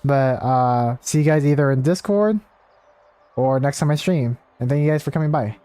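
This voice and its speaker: Mellow-voiced male game livestreamer, dry close sound. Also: mid-range voice, normal speed, clear, resonant tone, dry recording. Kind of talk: casual livestream chat with viewers. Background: faint game music.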